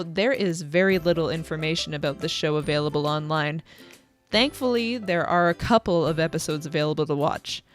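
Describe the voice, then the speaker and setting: A young woman reading scripted video essay narration. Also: lowish voice.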